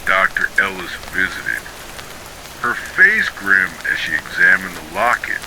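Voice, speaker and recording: A man reading a scripted ghost story for sleep in a measured, dramatic storytelling manner. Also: sits low, low-quality recording. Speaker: horror story narrator. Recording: steady rain noise behind voice. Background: rain falling.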